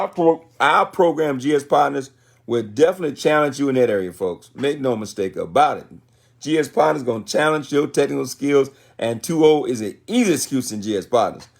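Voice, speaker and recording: A man's voice: mid-range voice, creaky. An American man in his sixties giving a motivational livestream talk in a folksy, colloquial way, repeating phrases for emphasis. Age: 60s. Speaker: motivational business speaker.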